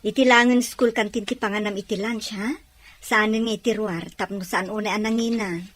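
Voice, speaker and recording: A woman's voice: medium pitch, steady tone, moderate pace, fairly bright tone, no room sound, natural voice. A woman playing a caring, practical mother and aunt in an audio drama. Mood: motherly. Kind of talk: audio drama dialogue in Ilocano.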